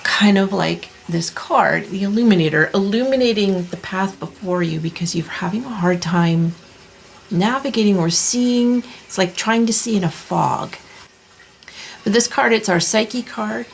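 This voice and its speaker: A woman in her thirties giving a tarot reading in a soft, reassuring way, clearing her throat as she loses her voice. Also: medium pitch, a little hiss or hum. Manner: soft, slow, reassuring delivery with many restarts. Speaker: tarot reader. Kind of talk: pick-a-card tarot reading.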